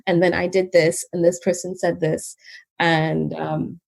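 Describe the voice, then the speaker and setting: A Trinidad-born woman whose accent now mixes Canadian and island sounds talks in a low, slightly gravelly voice. She is measured and calm as an interview guest, with frequent light laughter. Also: poor audio. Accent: Trinidadian mixed with Canadian. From Trinidad and Tobago. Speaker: guest, behaviour analyst. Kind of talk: casual interview conversation.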